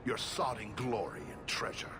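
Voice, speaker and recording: An actor playing an indignant, pleading middle-aged dwarf merchant, a father, with a gravelly voice, in a video game. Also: lowish voice, expressive, normal speed, music in the background, close to an everyday voice.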